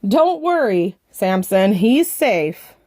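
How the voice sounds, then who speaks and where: A woman voicing a sweet, earnest little girl in a children's storybook, with an expressive delivery. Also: medium pitch, slow. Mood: joyful. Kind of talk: children's storybook character reading.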